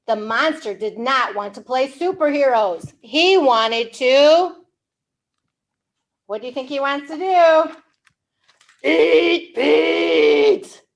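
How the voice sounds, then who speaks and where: A woman hosting a storytime for young children, reading aloud in an animated way with simple, exaggerated, encouraging questions. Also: high-pitched, vocal fry. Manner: slow, simple wording for English learners. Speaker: children's storytime librarian host.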